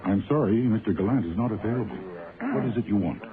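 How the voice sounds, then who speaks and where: A man's voice: on the low side, quick pace, a crowd in the background, lightly acted. An actor playing a dapper, guarded fashion-house salesman, stiffly polite and clipped, in a radio drama. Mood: stiff, dismissive. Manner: clipped, reserved, formal. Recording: tinny band-limited old radio.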